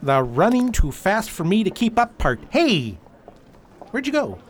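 A man's voice: sits high, big pitch swings, measured pace, a little hiss or hum. A man playing a defensive, exasperated war veteran in an audio drama, wry and a little hesitant. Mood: flustered.

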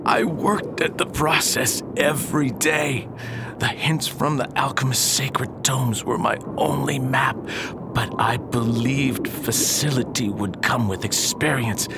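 A man's voice: medium pitch, takes it slowly, creaky, noisy background. An actor playing an obsessed, proud older Victorian alchemist and embittered father in a declamatory radio drama style. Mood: triumphant, obsessive. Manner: grandiose, declamatory old-fashioned speech. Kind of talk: theatrical radio drama acting.

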